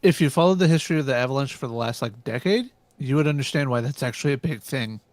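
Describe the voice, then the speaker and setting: A man on a casual podcast panel, speaking quickly and emphatically as a sports fan. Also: medium pitch, expressive, no echo. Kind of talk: casual chat.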